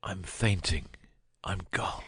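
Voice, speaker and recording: An actor playing a cunning detective with a husky voice in a dramatic audiobook reading. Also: male voice, sits low, slow pace, fairly bright tone, exaggerated voice. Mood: feeble, agonized. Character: cunning male detective, first feigning a dying illness, later brisk.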